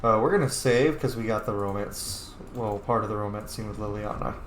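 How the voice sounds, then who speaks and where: A man's voice: fairly low pitch, fairly quick, reverberant, background noise. A man hosting a video game roleplay, reacting expressively and speaking dialogue choices aloud. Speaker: gaming video host, roleplayer.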